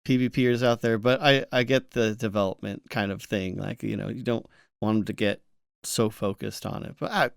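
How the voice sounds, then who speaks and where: Clean, smooth-voiced man chatting casually. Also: on the low side, steady tone, moderate pace, no room sound, studio quality. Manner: playful teasing. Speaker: podcast co-host. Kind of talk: casual gaming discussion.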